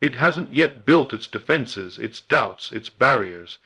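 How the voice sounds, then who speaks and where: A man's voice: medium pitch, expressive, slow, gravelly, bright, forceful tone, breathy edge, low-quality recording. Man narrating a solemn motivational meditation.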